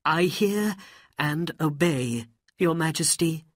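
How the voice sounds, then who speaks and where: A woman's voice: sits low, expressive, slow, breathy edge, no room sound, clean studio sound, pitched above the performer's own voice, exaggerated voice. A woman voicing a humble, lovelorn young man in a storybook, plaintive and pleading.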